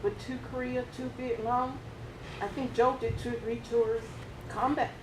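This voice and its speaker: A woman tells of her family on a post-screening panel, earnest and exclamatory. Her voice sits a touch high. The hall is echoey and a low rumble and steady noise run underneath. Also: measured pace. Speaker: relative of a veteran speaking on a panel. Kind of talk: emotional personal sharing. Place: large room at a film screening.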